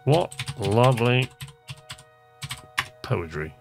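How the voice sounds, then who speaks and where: A man's voice: medium pitch, expressive, very slow, vocal fry, over music. A man giving casual gameplay commentary, talking to himself and reading game text aloud in an easily amused, musing way. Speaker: gamer, video host.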